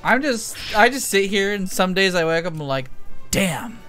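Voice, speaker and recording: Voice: medium pitch, expressive, normal speed, a bit bright. A young adult streamer giving enthusiastic, rambling, casually profane commentary while playing a video game. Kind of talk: casual gameplay commentary on a livestream. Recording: game music behind the voice. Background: video game music.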